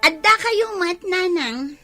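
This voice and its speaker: High, bright, defiant young daughter. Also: female voice, expressive, takes it slowly, faint music behind, heavily acted. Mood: casual, evasive. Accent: Ilocano. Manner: curt, dismissive. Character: defiant, irritable daughter who refuses to pay her debt. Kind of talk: Ilocano radio drama acting.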